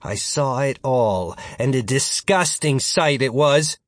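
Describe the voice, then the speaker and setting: A man's voice: mid-range voice, expressive, slow pace, no echo, clean studio sound, pitched above the performer's own voice. A man voicing a smug, teasing male friend in an audiobook story, glib and matter-of-fact. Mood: smugly accusing.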